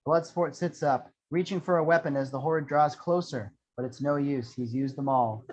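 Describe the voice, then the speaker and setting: A man's voice: on the high side, steady tone, moderate pace, darkish tone. A man reading screenplay action lines as scripted narration at an amateur table read. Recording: dull lo-fi sound.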